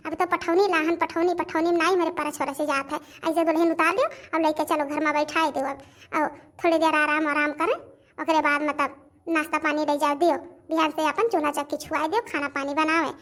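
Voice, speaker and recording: An extremely high-pitched village housewife from a cartoon family comedy, acted by a woman. She has a rustic Awadhi accent and talks in a chatty, repetitive, gossipy way, like an in-law with opinions on everyone. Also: fairly even intonation, a little fast, a bit bright. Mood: bossy, welcoming. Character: village women of a household, gossiping, admiring and grumbling in-laws.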